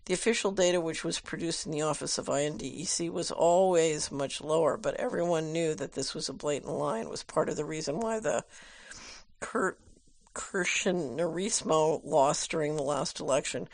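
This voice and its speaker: A woman host reading viewer letters aloud and answering them in a measured, thoughtful way, with frequent ums and uhs. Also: sits low, darkish tone, no echo.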